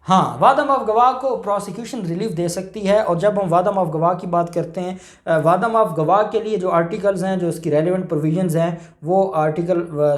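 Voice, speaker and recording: Man, Pakistani accent, high voice, explanatory legal lecture, halting and repetitive phrasing, echoing room. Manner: explanatory, halting, repeats and rephrases points. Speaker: lawyer explaining law. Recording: roomy, echoing indoor sound.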